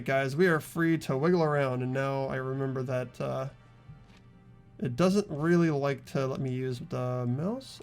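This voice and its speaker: A man's voice: mid-range voice, darkish tone. A man hosting a video game playthrough, talking casually as he explains things to the group. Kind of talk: casual let's-play commentary.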